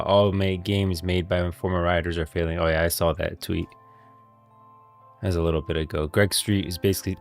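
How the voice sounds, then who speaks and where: A man hosting a casual livestream about game lore, talking informally and directly to his viewers. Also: low voice. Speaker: livestream host.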